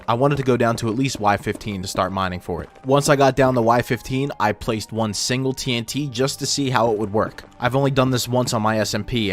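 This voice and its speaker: A man narrating gameplay in a casual, informal way with wry asides, his voice run through a voice filter. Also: medium pitch. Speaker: gaming video host. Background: background music.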